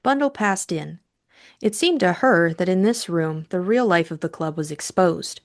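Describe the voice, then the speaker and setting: A woman's voice: fairly low pitch, animated, normal speed. A woman narrating a novel as an audiobook in a scripted storytelling style.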